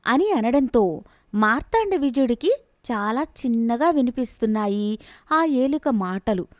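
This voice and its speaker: A woman narrating a scripted bedtime fantasy story in a steady, expressive storytelling manner. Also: a little high, a little slow, no echo, studio quality.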